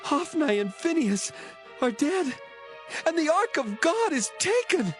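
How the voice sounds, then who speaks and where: An actor playing a distraught messenger who has fled a battle, in a dramatized Bible reading. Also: male voice, very high-pitched, very animated, unhurried, faint music behind, full character voice. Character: distraught young male messenger fleeing battle.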